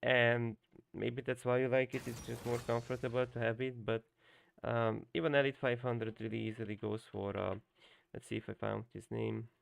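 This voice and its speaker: A man's voice: mid-range voice, flat delivery, normal speed, creaky. A young man whose first language is Hungarian, coaching on a live stream in loose, rambling talk full of fillers and restarts. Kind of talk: casual live-stream commentary.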